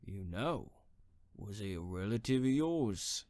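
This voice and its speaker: Gruff cemetery caretaker, acted by a man, low-voiced. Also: expressive, takes it slowly, a little mellow, no echo, clean studio sound. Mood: suspicious, impatient. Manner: scolding, colloquial. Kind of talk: audio horror drama acting.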